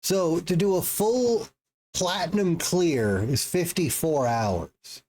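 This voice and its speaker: A man's voice: sits high, animated, slow, a little mellow. A man streaming a game live, reacting with jokes and swearing and working out numbers aloud. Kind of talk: casual live-stream commentary.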